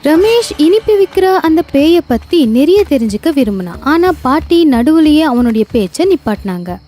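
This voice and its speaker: A woman narrating an animated horror story in a lively storytelling manner. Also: high voice, moderate pace, faint music behind.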